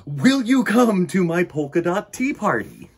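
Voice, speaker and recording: A man's voice: high voice, big pitch swings, a little slow, breathy edge, voice pushed up, full character voice. A man voicing a cheerful, busy female animal in a children's storybook, eagerly explaining and inviting. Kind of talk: children's storybook character reading.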